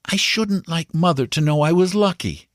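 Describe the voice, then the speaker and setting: A man's voice: moderate pace, dry recording, studio quality, lightly acted. A man doing a tense, anxious young boy. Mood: pleading. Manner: high, strained, earnest.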